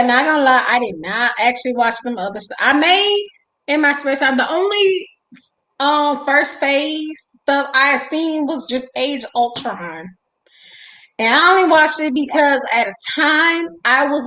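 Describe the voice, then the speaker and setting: A young woman with a raspy voice, hosting a casual livestream chat from home on a video call and reading out viewer comments. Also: high-pitched, expressive, a little slow, pushed and bright, echoey room, low-quality recording. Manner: chatty, reads viewer comments aloud. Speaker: livestream host.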